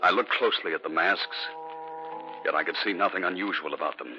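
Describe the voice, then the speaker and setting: An actor in an old radio drama playing a husband who narrates his eerie story in a measured way. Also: sits low, lightly acted. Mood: uneasy. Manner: measured first-person narration. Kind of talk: 1940s radio acting. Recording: muffled old radio recording with music.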